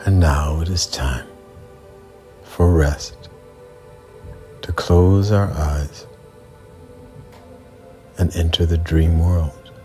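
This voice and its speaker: A man narrating a bedtime story for children as a guide, in a measured, deliberate delivery with pauses mid-sentence. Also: low-pitched, darkish tone. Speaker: bedtime storyteller and mindset coach. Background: background music.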